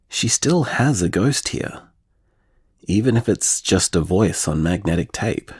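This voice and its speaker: Audio drama tender, worried man: slightly husky, very slow, reflective, confiding in the one he loves. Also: mid-range voice, dry recording, clean studio sound, heavily acted. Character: tender, anxious man confiding in his beloved. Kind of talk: intimate audio drama monologue.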